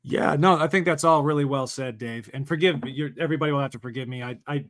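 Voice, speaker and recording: A man in casual conversation as a guest, his voice raspy and worn from long recording, speaking thoughtfully with some restarts. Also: mid-range voice, fast. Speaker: guest, film festival organizer.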